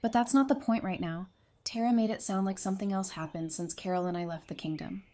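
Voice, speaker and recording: A woman's voice: medium pitch, fairly quick, mellow, lightly acted. A woman playing a caring, stubborn and playful survivor in an audio drama. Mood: concerned. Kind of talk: fan audio drama acting.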